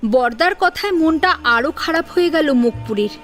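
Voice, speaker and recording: A woman's voice: sits high, expressive, normal speed, a bit bright, soft background music. A woman reading scripted narration of a story as its narrator.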